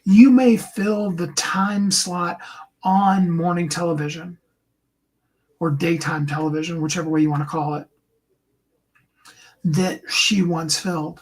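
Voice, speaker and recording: A man with a higher tenor voice giving an earnest, encouraging motivational talk to his viewers, often slowing down and dropping into a soft whisper. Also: steady tone, rough recording. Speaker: host and coach.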